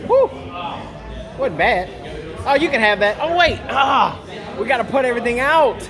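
A man's voice: very high-pitched, animated, moderate pace, crowd noise. A man in his thirties co-hosting a golf vlog, bantering and joking about his own shots in an indoor golf simulator bay. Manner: joking, self-mocking about his game.

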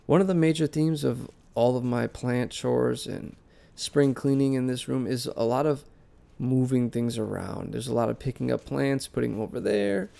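A man's voice: mid-range voice, normal speed, vocal fry. A young man with a congested, nasal cold voice, chatting casually as a vlog host about doing chores in his basement plant room. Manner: relaxed, rambling, conversational. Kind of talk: casual voice-over vlog narration.

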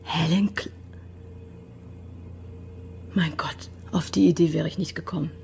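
A woman's voice: low voice, fairly even intonation, measured pace, gravelly, a little mellow, a little hiss or hum. An actress in a radio play voicing a composed, evasive young woman who answers questions in a guarded way. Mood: shocked, hushed. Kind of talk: German radio drama acting.

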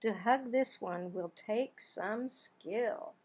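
A woman in her sixties reading a children's picture book aloud, expressively, with drawn-out words and pauses. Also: mid-range voice, a little mellow, breathy edge. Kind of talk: children's storybook read-aloud. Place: small home office, indoors.

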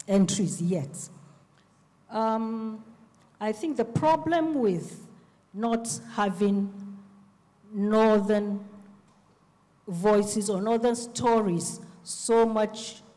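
A middle-aged Nigerian-accented woman speaks on a panel in a soft, mellow voice of medium pitch. Her delivery is measured, with deliberate pauses between phrases. The handheld microphone carries the long reverb of a hall. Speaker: panelist, publisher and literacy advocate. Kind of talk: panel discussion talk. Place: on stage in a festival hall.

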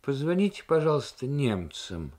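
A man's voice: mid-range voice, very animated, darkish tone, pitched above the performer's own voice. Man reading as composed, insistent senior diplomat, raised voice. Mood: polite, insistent. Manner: deliberate, polite but insistent. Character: commanding, composed male Soviet diplomat giving instructions.

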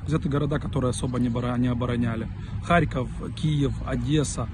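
A man's voice: medium pitch, fairly even intonation, quick pace. Young man pleading outdoors over traffic. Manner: urgent, rhetorical questioning. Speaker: reserve officer speaking to camera. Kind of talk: angry direct-to-camera appeal. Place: outdoors under bare trees. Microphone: phone held close to face. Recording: clear street noise with passing traffic. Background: city street ambience and vehicles.

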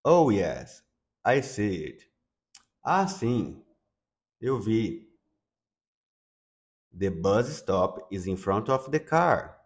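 A man from Brazil with a Brazilian accent, teaching English by repeating phrases slowly and translating them. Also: fairly low pitch, very animated. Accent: Brazilian Portuguese. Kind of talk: slow bilingual lesson, repeating phrases.

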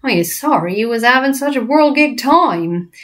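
BFG, a woman doing a gentle giant. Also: on the high side, animated, normal speed, pitched above the performer's own voice. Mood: confiding. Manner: jumbled words, playful mangled grammar. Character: big friendly male giant, kindly, muddled grammar.

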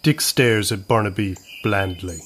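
A man's voice: on the low side, measured pace, a bit bright, a little hiss or hum. A man reading scripted narration for an audio comedy, describing scenes as the narrator.

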